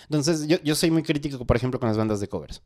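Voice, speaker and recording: A man's voice: medium pitch, quick pace, a little mellow. A man from Ecuador with a Quito accent, hosting a conversational interview in a casual, reflective way and often checking in with his listener. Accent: Quito Ecuadorian Spanish. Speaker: host, musician.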